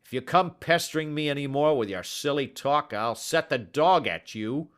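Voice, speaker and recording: A man reading aloud and voicing an angry, quarrelsome market salesman who shouts fiercely. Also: medium pitch, very animated, measured pace, voice pushed up.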